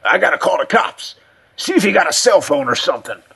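A man voicing a frightened old homeless man with a hoarse voice and rough street speech in a story. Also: medium pitch, animated, unhurried, pushed and bright, voice pushed up, heavily acted. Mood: scared, backing away.

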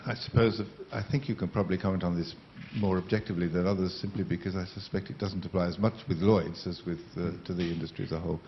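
An older man asking a long, formal question from the audience in a lecture hall, in a deliberate, winding way. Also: sits low, fairly even intonation, muffled recording, a little hiss or hum.

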